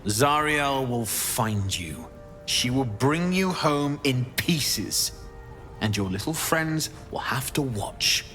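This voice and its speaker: A man's voice: medium pitch, animated, a bit bright, soft background music. A man playing a cunning, menacing false paladin who serves an archdevil, in dramatic video game acting. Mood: cold menace. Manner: feigned fearful pleading turning to cold, deliberate threats.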